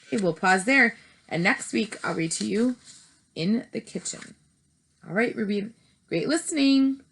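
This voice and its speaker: A woman teacher reading a chapter book aloud to young children, gentle and encouraging. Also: mid-range voice, lively intonation, measured pace, creaky, low-quality recording. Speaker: third-grade teacher.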